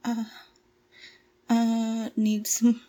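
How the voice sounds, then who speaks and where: A woman acting out a frightened young captive woman who stammers and pleads in a halting way. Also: fairly high pitch, monotone, mellow. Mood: nervous, hesitant. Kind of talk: amateur audio drama acting.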